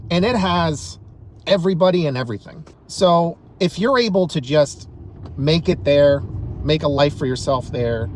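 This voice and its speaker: An American man talks through his opinions in a conversational, stop-and-start way. His voice sits a little high and moves around a lot in pitch. There is clear ambient noise behind him, like a recording made outside or around vehicles. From New York state, United States. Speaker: online commentator. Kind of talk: casual opinion monologue.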